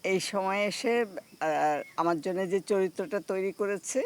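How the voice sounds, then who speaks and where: An older woman with a Bangladeshi accent giving a reflective interview answer in poetic, halting phrases. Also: medium pitch, clear, resonant tone. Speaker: actor being interviewed.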